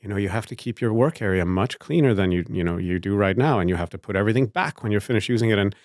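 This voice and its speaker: Male host, low voice, dry close mic, curious questions, relaxed chat. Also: fast, no echo. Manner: curious, conversational, with hesitant restarts. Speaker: podcast host. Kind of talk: casual interview conversation.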